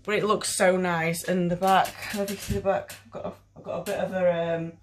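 A woman's voice: fairly low pitch, level tone, fairly quick, slight background noise. A woman in her thirties with a British accent, giving a casual, rambling try-on review with plenty of swearing. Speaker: fitness clothing reviewer. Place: small bedroom at home.

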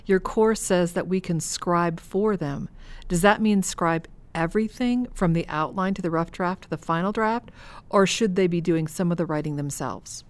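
A woman's voice: lowish voice, normal speed. A woman hosting a podcast in casual chat and reading out listener questions. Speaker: podcast host.

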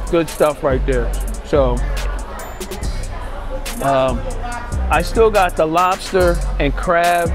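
A man in his fifties chatting casually as he reviews a restaurant buffet, listing dishes enthusiastically. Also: high voice. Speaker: food vlogger. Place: indoor restaurant booth. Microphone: clip-on lapel mic.

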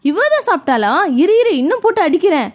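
Cranky, threatening grandmother for children's toy play, extremely high-pitched, acted by a woman. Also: big pitch swings, fast. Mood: angry scolding. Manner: scolding, threatening. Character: cranky scolding old granny guarding her cherry tree.

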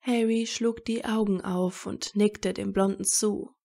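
Casting: female story narrator, mellow and relaxed, unhurried measured read of scripted fiction. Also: medium pitch, creaky.

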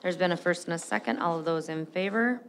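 Lady running the board meeting, low voice, brisk, faint hum behind, desk mic. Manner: brisk, procedural. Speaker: board chair. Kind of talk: chairing a meeting.

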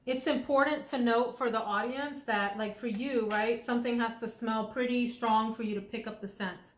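A woman's voice: a little high, fairly even intonation. A woman hosting a casual perfume review, talking in a chatty, thoughtful way. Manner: chatty, thoughtful, drawn-out pronunciations. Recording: echoey room.

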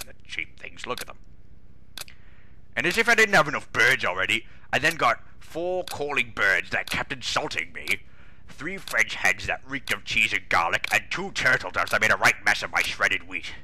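A man's voice: on the low side, sharp tone, a little hiss or hum, full character voice. A man voicing a pompous, exasperated older railway boss with a British accent, grumbling indignantly in a comic dramatic reading.

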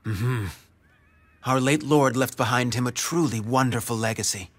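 An actor playing a shrewd, composed young strategist in a dramatic video game, speaking in formal, measured phrases. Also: male voice, medium pitch, a bit bright, no echo, natural voice. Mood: calculating.

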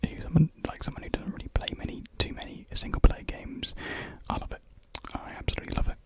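Young man, soft breathy whisper, casual ASMR ramble, informal, close desk condenser mic. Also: fast talker. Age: young adult. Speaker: ASMR creator. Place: small indoor room with painted block walls. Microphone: large desk condenser mic close to the mouth.

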